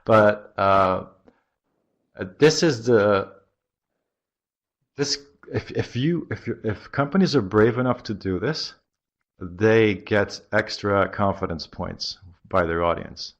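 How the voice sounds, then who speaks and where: A man from Belgium with a slightly American-sounding accent, a marketing guest in an interview who thinks aloud with many pauses. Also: on the low side. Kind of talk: podcast interview. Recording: dull lo-fi audio.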